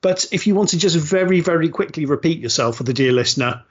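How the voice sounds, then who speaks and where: A man's voice: a little high, expressive, fast talker, lo-fi sound. A man hosting a podcast in an upbeat, rambling, self-deprecating way, often stopping to restart. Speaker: podcast host. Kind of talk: casual chat.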